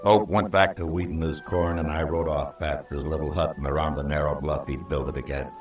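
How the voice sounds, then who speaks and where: Very deep-voiced stern Old West marshal. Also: male voice, fairly even intonation, over music. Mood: somber narrating. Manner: measured, terse, dryly sardonic. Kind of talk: old-time radio western acting and narration. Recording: distant, roomy old radio recording.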